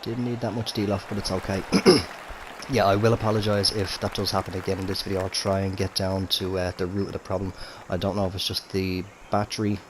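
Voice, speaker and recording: A man with a fairly low voice talks through a game in relaxed, rambling commentary, his throat slightly rough from a cold. He speaks into a headset mic in a room at home, with a faint hiss of noise underneath. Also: brisk. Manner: easygoing, chatty, frequent fillers and restarts. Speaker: gaming commentator. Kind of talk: casual gameplay commentary. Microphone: gaming headset.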